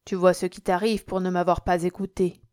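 A shrewd, kindly country priest in a storybook, voiced by a woman reader. He speaks in measured phrases. His manner is calm and coaxing, with a gentle note of reproach. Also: lowish voice, natural voice. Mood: admonishing. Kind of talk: audiobook character acting.

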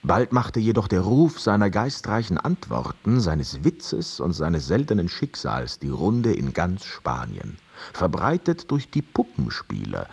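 An actor playing a wry storyteller, narrating an old tale in expressive, deliberate radio drama style. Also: male voice, fairly low pitch, gravelly, breathy edge, exaggerated voice. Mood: amused.

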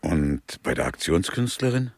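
An actor playing a brooding, disapproving investigator in a radio play, terse and probing, with a husky voice. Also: male voice, very deep voice, sing-song, moderate pace, no room sound, full character voice. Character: brooding, disapproving male investigator asking questions. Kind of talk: German radio play dialogue.

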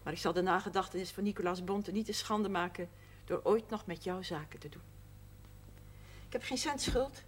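A woman's voice: medium pitch, moderate pace, husky, close to an everyday voice. An actress playing a proud, sober widowed shopkeeper and mother in a naturalistic television drama. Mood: firm, proud. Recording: faint noise, 1970s television soundtrack.

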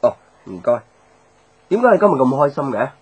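A man's voice: medium pitch, very animated, brisk, husky, muffled recording. An actor playing an easygoing, supportive young businessman in a radio drama, speaking casual Hong Kong Cantonese. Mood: casual, obliging.

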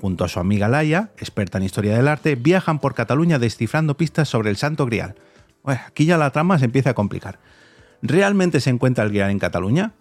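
A man's voice: mid-range voice, big pitch swings, brisk, dry recording, soft background music. A man with an accent from Spain hosting a conversational interview in an enthusiastic manner. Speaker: podcast host and interviewer.

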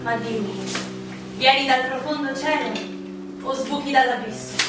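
An actress playing a solemn poetic figure, reciting verse in a lyrical, declamatory theatrical style. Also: female voice, high voice, measured pace. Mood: lyrical, intense. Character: solemn poetic woman reciting a dark hymn to beauty. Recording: echoey stage, distant, loud guitar music.

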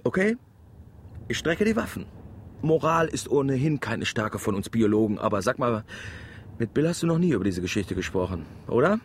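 An actor playing a wry, avuncular middle-aged biologist who teases, in a naturalistic radio drama. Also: male voice, medium pitch, very animated, normal speed, slight background noise. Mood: conciliatory. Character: wry, avuncular biologist, teasing older family friend.

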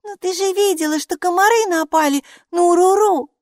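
A woman voicing a brave, bossy little girl in an audiobook, speaking in a high, exclaiming child voice. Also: moderate pace, darkish tone, no room sound, studio quality. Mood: excited. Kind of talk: audiobook character reading.

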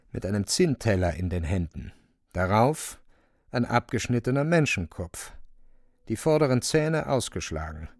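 A man's voice: mid-range voice, a little mellow, no echo. A man narrating a literary radio play in a scripted storytelling style.

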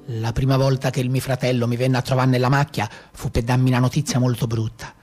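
A man with a Tuscan accent playing a rough peasant brigand of the Maremma in a theatrical storytelling monologue. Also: mid-range voice, level tone, fast, darkish tone, natural voice. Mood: sorrowful. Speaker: stage actor. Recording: live guitar music behind.

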